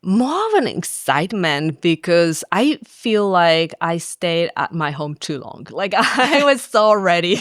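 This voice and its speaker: A woman's voice: on the low side, clear, resonant tone, no echo, clean studio sound. A woman from Japan, a faculty member chatting in an interview, laughing often and filling with "like". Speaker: faculty guest. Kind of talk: casual interview chat.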